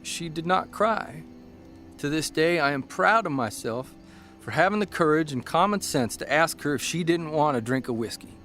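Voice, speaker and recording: A man's voice: fairly high pitch, expressive, normal speed, fairly bright tone, faint music behind. A man playing an earnest, self-deprecating, plain-spoken newspaper columnist narrating his own stories in an audio drama, in a folksy way. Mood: somber, reflective. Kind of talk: scripted first-person audio drama narration.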